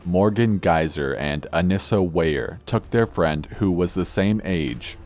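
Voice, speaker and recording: Very deep-voiced man narrating true crime. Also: darkish tone. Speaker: narrator of horror videos. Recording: background music under narration. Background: music.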